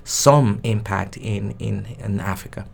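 A man with a lowish voice who grew up speaking Yoruba answers interview questions in English. He thinks aloud, restarting phrases and repeating words as he builds each point. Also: unhurried, vocal fry, breathy edge. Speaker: guest expert.

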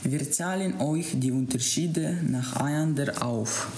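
A man's voice: on the high side, takes it slowly, darkish tone. A teenage male student from Ukraine with a Ukrainian accent, reading out a scripted report. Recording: faint background room noise.

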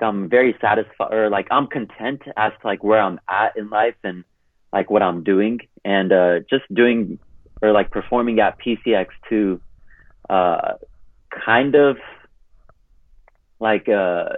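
A man's voice: fairly low pitch, dry recording. A man turning thirty, from California, talking as a podcast guest in a rambling way full of "like". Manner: rambling, heavy use of "like". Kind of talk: casual interview chat. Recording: tinny phone line.

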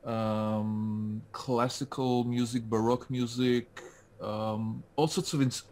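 A man's voice: medium pitch, steady tone, slow pace, darkish tone. Man, hesitant, lots of fillers, interview guest. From France. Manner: hesitant, many fillers and restarts. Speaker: guest, LinkedIn specialist. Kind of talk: casual interview over a call.

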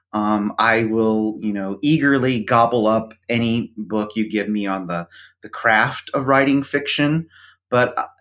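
A man who is a guest on a podcast, talking about books in a thoughtful, hesitant way with many pauses. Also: on the low side, a bit bright. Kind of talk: casual interview chat.

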